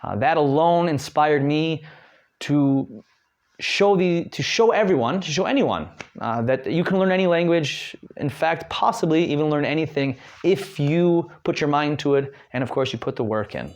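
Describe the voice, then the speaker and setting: Chatty American man, slightly high voice. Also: normal speed. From Detroit, United States. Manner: chatty, many fillers and restarts. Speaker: guest language learner. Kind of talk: casual spoken lesson.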